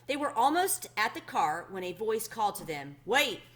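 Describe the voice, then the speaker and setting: A woman reading a children's story aloud as a host, in an expressive, plainly addressed storytelling manner. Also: mid-range voice, normal speed.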